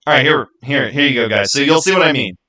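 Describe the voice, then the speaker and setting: A man's voice: medium pitch, quick pace, bright, forceful tone. A man streaming live, chatting casually about game lore in an emphatic way and repeating his phrases for stress.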